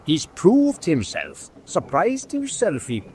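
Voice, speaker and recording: An actor playing an earnest officer reasoning things out in a radio drama. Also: male voice, fairly high pitch, huge pitch swings, takes it slowly, mellow, slight background noise, full character voice. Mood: persuasive.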